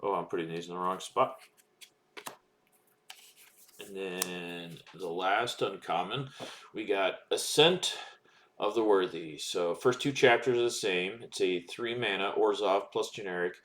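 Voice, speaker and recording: A man's voice: sits low, unhurried, fairly bright tone, a little hiss or hum. A man casually commenting as he opens booster packs, reading card text aloud with plenty of ums and uhs. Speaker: card-opening streamer.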